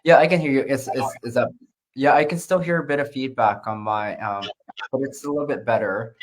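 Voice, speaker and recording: A man from Canada talking casually and haltingly as an interview guest, through a laptop on a video call. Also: mid-range voice, slight background noise. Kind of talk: casual self-introduction and chat.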